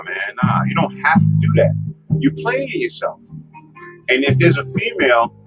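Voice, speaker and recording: A man hosting a casual advice livestream, talking with many fillers and restarts. He says himself that he has a strong voice. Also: mid-range voice. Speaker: host. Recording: lo-fi with music behind. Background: guitar music.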